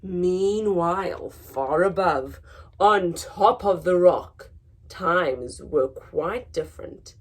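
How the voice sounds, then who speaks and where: A woman reading a children's picture book aloud in a dramatic, expressive storytelling manner, moving between hushed and emphatic delivery. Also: fairly low pitch, extremely slow, breathy edge. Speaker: story time reader.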